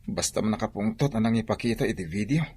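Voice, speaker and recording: A man's voice: on the low side, animated, quick pace, darkish tone, slightly rough. An actor playing a troubled, dejected man short of money in an Ilocano radio drama, speaking in a downcast way. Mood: worried, glum.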